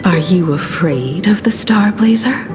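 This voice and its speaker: An actress voicing a composed, wistful but quietly defiant woman in a video game, hushed, breathy and measured. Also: on the low side, expressive. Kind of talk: dramatic video game voice acting. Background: background music.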